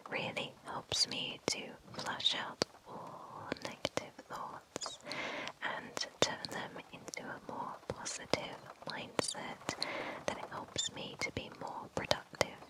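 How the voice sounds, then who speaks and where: Woman, breathy whisper, unhurried measured delivery, scripted ASMR ad read. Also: rough recording. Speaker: ASMR host reading a sponsor message.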